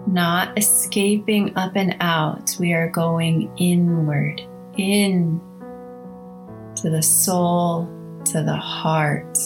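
A woman hosting a guided meditation, speaking in a soothing, measured way with long pauses between short phrases. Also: lowish voice, steady tone. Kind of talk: slow guided meditation narration. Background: instrumental music.

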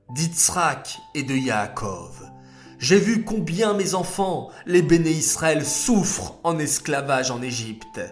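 Guy putting on a solemn god voice, slow and weighty, soft music under. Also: mid-range voice. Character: divine voice, male, commanding.

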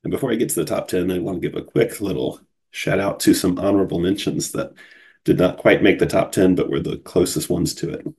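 A man's voice: low-pitched, fairly quick, darkish tone, husky. A man from Cincinnati hosting a solo podcast in a casual, chatty way, sounding groggy from a cold. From Cincinnati, USA. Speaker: podcast host.

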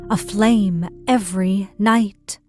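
An actor playing a grave, reflective woman storyteller, giving measured literary narration in an audio drama. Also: medium pitch, steady tone, a little mellow, soft background music, natural voice. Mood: solemn, reflective.

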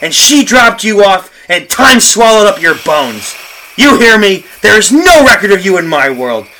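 An actor playing a guilt-ridden, terrified dead man in a horror radio play, narrating melodramatically and haltingly with repeated phrases. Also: sits high, big pitch swings, sharp tone, a little hiss or hum, heavily acted, poor audio. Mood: panicked defiance.

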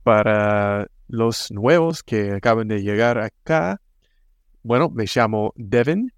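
Slow, hesitant American man hosting. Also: on the low side, expressive, no echo. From United States. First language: English. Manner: hesitant, frequent restarts and fillers. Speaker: podcast host. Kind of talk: casual podcast intro.

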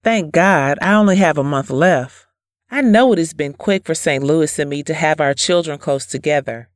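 An actress playing a gentle, trusting wife and mother, politely spoken, in an audio drama. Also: low voice, moderate pace, no room sound, studio quality, lightly acted. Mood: friendly.

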